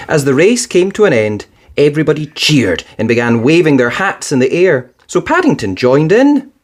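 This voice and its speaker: A storyteller giving an expressive children's read-aloud narration. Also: mid-range voice, moderate pace.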